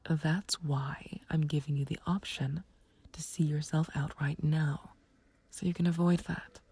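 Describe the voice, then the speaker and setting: A woman playing a smug, taunting, playfully flirtatious villain in an ASMR roleplay, with a husky, breathy low voice and a teasing, unhurried, condescending manner. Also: steady tone, warm tone, heavily acted. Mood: menacing, taunting. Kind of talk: ASMR roleplay audio drama.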